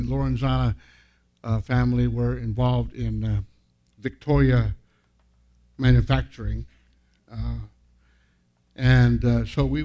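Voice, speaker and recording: A man in his seventies giving an informal sermon as a guest pastor, telling personal stories in a halting, self-deprecating way. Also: mid-range voice. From San Francisco, United States, born to a Filipino family. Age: 70s.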